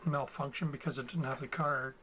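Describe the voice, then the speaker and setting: An older man who has a cold and is losing his voice, explaining things slowly and haltingly with many ums and uhs. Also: medium pitch, fairly even intonation. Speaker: video host. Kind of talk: casual explanatory talk. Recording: dull, lo-fi home recording with faint ambient noise.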